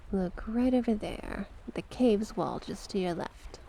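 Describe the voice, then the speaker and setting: A hushed, breathy sea witch played by a woman in an ASMR roleplay, seductive and sinister. Her voice is mellow with a gravelly creak, and she coaxes slowly with doting endearments. Noticeable ambient noise sits behind her. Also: mid-range voice. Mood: doting, teasing. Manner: slow, coaxing, affectionate endearments.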